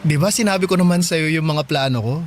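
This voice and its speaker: A smitten young suitor from the provinces, acted by a man in a radio drama. His voice sits slightly high for a man, bashful and halting, earnest and tender, with faint music underneath. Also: close to an everyday voice. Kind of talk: Tagalog radio drama acting. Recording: music playing behind the voice.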